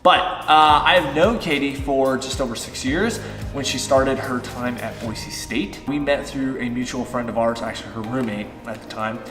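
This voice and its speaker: A man's voice: mid-range voice, normal speed, fairly bright tone, reverberant, long reverb tail, faint music behind. A man in his thirties, a fitness vlogger, answering viewer-style questions in a loose, chatty way with many fillers. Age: 30s. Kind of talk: casual Q and A vlog. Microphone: on-camera shotgun mic.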